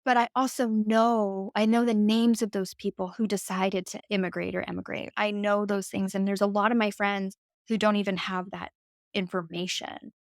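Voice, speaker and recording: A woman's voice: mid-range voice, little pitch movement, normal speed, dry recording, studio quality. A woman speaking as a podcast guest, talking in a reflective way with lots of "like" and "right?". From United States. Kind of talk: conversational interview.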